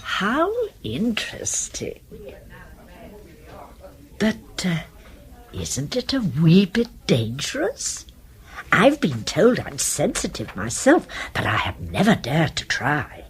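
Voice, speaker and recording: An actress playing a genteel, crafty British spinster sleuth in a radio drama, with fussy, precise and effusive diction. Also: female voice, lowish voice, wildly swooping pitch, takes it slowly, pauses often, husky, full character voice. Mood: feigned polite curiosity.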